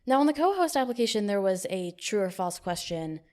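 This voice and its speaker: A young woman hosting a podcast, running a mock job interview in a deadpan, playfully bossy way. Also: medium pitch, fairly quick. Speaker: podcast host. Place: new studio, not fully set up.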